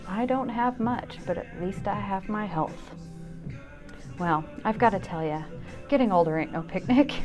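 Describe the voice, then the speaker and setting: A woman in her fifties hosting a solo show, narrating a scripted personal essay in a wry, candid manner. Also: lowish voice. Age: 50s.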